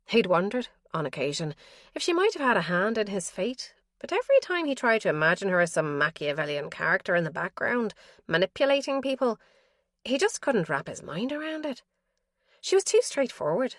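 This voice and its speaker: A woman with a lowish voice reads a crime thriller in a measured, tense storytelling manner. The recording is close and completely dry. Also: no echo. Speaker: audiobook narrator. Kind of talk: scripted audiobook narration.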